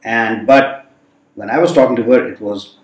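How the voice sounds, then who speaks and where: Guy w/ hindi as first language, talks slow and thoughtful lots of uhs, sounds kinda far off in a roomy space. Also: medium pitch. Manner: thoughtful, many fillers. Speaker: guest. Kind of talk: reflective storytelling in conversation. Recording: roomy sound from a distant mic.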